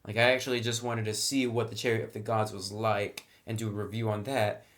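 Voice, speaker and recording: A man giving a casual spoken review of a tabletop game, enthusiastic, with lots of "actually" and "really" and quick restarts. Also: on the low side, little pitch movement, fairly bright tone. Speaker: product reviewer.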